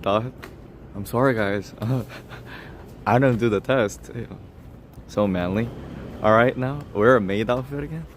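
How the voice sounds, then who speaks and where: Young guy streaming, chatting w chat, lots of laughing, lowish voice, faint hum behind. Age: 20s. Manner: laughs often, self-mocking, talks straight to chat. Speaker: livestreamer. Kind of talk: casual livestream chat reading comments.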